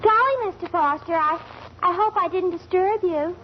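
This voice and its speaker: A woman's voice: extremely high pitch, normal speed. An American actress playing an eager, gushing, oblivious teenage girl in a 1940s radio sitcom. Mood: eager, apologetic. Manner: gushing, earnest. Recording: muffled old radio recording, faint noise.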